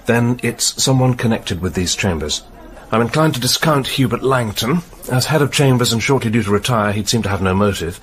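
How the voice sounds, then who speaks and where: An actor playing a senior British police detective in a radio play, husky, measured and questioning with authority. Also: male voice, on the low side. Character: senior male police detective, methodical and probing.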